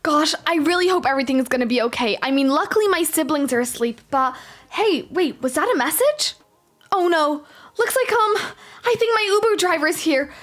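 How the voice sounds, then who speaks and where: A spoiled, easily exasperated rich schoolgirl and young mum played by a woman. She has a high voice and sighs and grumbles to herself as she goes through an improvised game roleplay. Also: expressive, normal speed, a bit bright. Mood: complaining. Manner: sighing, complaining, talking to herself.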